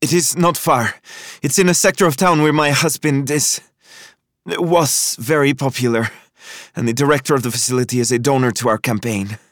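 A man performs a composed, forceful Lebanese woman in an audiobook thriller. The voice is lifted well up in pitch, with formal, deliberate phrasing and a close, dry sound. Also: steady tone, no echo, lightly acted. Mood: urgent, businesslike. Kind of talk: audiobook character voice.

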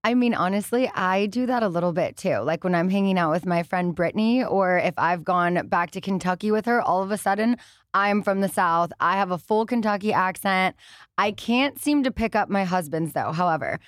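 A woman's voice: medium pitch, normal speed, dry recording. A woman hosting a studio interview, chatty and encouraging. She often answers with short affirmations. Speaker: podcast host. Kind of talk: casual interview chat.

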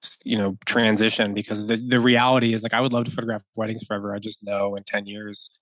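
A man's voice: on the low side, flat delivery, fast talker, fairly bright tone, dry recording. A man in his thirties, a wedding photographer, chatting as a podcast guest, rambling with many fillers and restarts and laughing easily. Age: 30s. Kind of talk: casual podcast conversation.

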